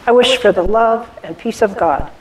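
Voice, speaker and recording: A woman reciting a poem for a church holiday program in a measured, earnest reading manner. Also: fairly high pitch, darkish tone. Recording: distant mic with hiss.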